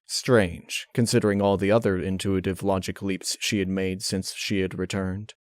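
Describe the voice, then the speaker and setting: A man reading a dark fan fiction story as narrator, in a measured, dramatic way. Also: sits low, flat delivery, no room sound, studio quality. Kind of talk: dark audiobook-style narration.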